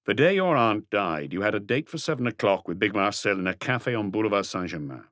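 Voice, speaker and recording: A man voicing a calm, ironic police inspector who questions in an unhurried, probing way in a mystery audiobook. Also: on the low side, no echo, studio quality.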